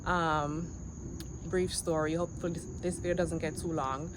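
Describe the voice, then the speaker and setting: A woman in her forties, originally from Jamaica, vlogging to camera outdoors on a quiet street in an earnest, reflective way. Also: low-pitched, level tone. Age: 40s. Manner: earnest, reflective, with pauses and restarts. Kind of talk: casual vlog to camera. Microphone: handheld camera. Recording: outdoor noise with insects. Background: insects and passing vehicles.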